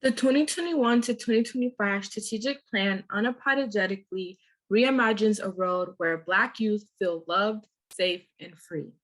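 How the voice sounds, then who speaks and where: A teenage girl from Minnesota presenting as a youth leader, relaxed and a little halting when she speaks off script. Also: mid-range voice, rough recording. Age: teens.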